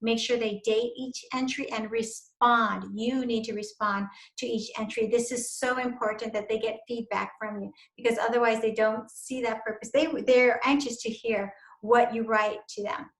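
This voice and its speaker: A woman's voice: a little high, steady tone, normal speed, hardly any pauses. A middle-aged woman, a university instructor, giving an earnest, encouraging recorded lecture to teachers over slides. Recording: echoey room.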